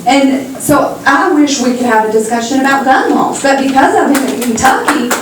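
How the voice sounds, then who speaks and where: High-voiced middle-aged woman in echoey hall. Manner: halting, exasperated. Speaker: audience member speaking at a public meeting. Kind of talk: personal, unscripted public remarks. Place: meeting hall, public town-hall event. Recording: very echoey hall, distant mic, faint noise.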